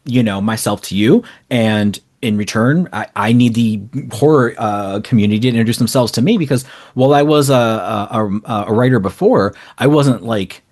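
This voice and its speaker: A man's voice: on the low side, normal speed, hardly any pauses, darkish tone. A man giving casual, explanatory interview answers in long, rambling, informal runs as a guest. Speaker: interview guest, small indie publisher.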